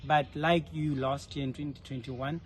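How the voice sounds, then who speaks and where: A man's voice: mid-range voice, steady tone, normal speed. A young man speaking earnestly and articulately, giving reflective answers in an informal interview. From South Africa. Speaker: interviewee, leadership fellow. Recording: faint background noise, ambient.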